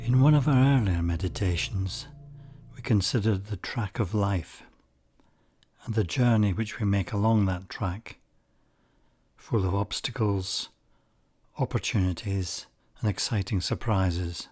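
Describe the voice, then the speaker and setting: Slow, breathy, low-voiced man. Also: faint music behind. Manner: soft-spoken, calm and unhurried, with breathy near-whispered phrases. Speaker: meditation guide. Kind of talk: slow guided meditation.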